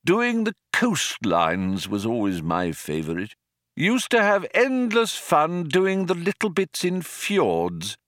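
Casting: kindly old alien gentleman played by a male reader, absent-minded, courteous, wistful, very melodic delivery, close dry sound. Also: fairly high pitch, wildly swooping pitch, no room sound, voice pushed up, full character voice. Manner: vague, unhurried, wistful, gently formal. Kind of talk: audiobook character voice.

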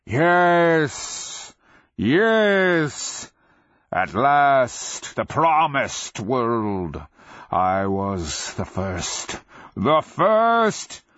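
Ancient proud dragon in a fantasy audiobook, slow booming declamation, swings way up high, bit lo-fi. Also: male voice, sing-song, a bit bright, no echo, heavily acted. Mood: brooding, anguished. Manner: slow, grandiose roaring declamation. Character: ancient undead blind dragon, proud, thundering, desolate. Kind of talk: fantasy audiobook character acting.

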